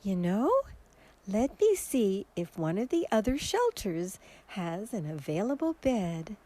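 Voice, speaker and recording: A woman playing a kind, gentle shelter worker in a radio drama, speaking in an unhurried way. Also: mid-range voice, big pitch swings. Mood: gentle, caring.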